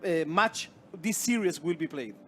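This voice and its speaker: A man's voice: fairly high pitch, expressive, normal speed, a bit bright, a little hiss or hum. A man from Argentina hosting a live esports stream, friendly and laughing, thanking viewers and staff. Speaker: host.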